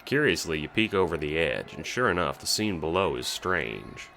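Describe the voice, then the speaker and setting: A man's voice: very deep voice, sing-song, normal speed, creaky, sharp tone. A man narrating a second-person audio drama in a sardonic, sweary manner.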